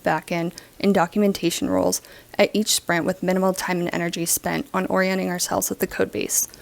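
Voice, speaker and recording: Very creaky-voiced woman presenting. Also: fairly low pitch, a little mellow. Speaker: project presenter. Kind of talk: scripted presentation.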